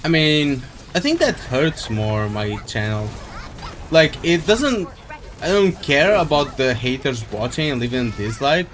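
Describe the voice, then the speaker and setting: A man's voice: on the high side, sing-song, measured pace, fairly bright tone. A man streaming a game, chatting casually and rambling as he thinks aloud. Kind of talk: casual live-stream chat while playing. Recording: game music behind the voice.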